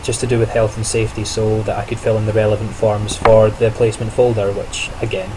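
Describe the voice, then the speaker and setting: A man's voice: fairly low pitch, monotone, brisk, background noise. A young man, a trainee teacher, talking through his day in a casual video diary, chatty and reflective with frequent self-corrections and small laughs.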